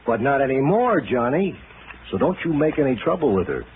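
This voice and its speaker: A man's voice: medium pitch, very animated, a little fast, narrow, tinny audio, lightly acted. An American man playing a weary, easygoing police detective who grumbles colloquially in a 1960s radio drama. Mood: weary, grumbling. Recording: thin, faintly noisy old radio recording.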